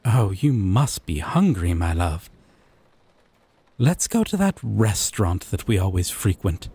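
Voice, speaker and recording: A man playing a gentle, obsessive, possessive lover in an intimate romantic roleplay, speaking haltingly and tenderly. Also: sits low, big pitch swings, exaggerated voice. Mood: eager, affectionate. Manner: slow, halting, tender, breathy pauses. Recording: rain and thunder ambience behind. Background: rain, thunderstorm.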